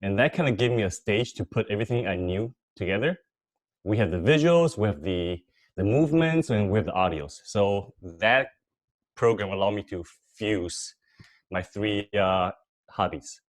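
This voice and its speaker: A young man who grew up between Taiwan and the United States, speaking as a guest presenter on a video call in a relaxed, filler-heavy storytelling way. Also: lowish voice, normal speed.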